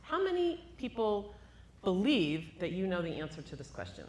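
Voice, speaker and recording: A woman neurologist speaking live to an audience in a room, with a loud, emphatic voice and rhetorical questions. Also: mid-range voice, sing-song, normal speed. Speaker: cognitive neurologist guest. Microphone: handheld microphone.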